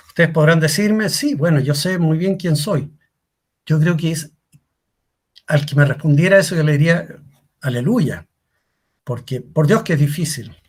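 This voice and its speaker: A man's voice: fairly high pitch, breathy edge. A middle-aged man giving a career workshop talk as a coach, asking rhetorical questions and repeating key lines for emphasis. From Chile.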